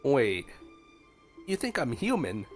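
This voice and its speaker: A man's voice: mid-range voice, wildly swooping pitch, slow pace. Playful male streamer over game music. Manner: playful, chatty. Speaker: gaming streamer. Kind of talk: casual game reaction commentary.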